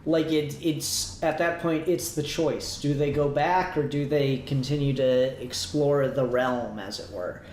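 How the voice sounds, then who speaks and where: A man's voice: mid-range voice, fairly even intonation, unhurried, hardly any pauses, darkish tone. A man co-hosting a casual review discussion, rambling a little as he thinks aloud. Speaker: podcast co-host. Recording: roomy echo, faint background noise.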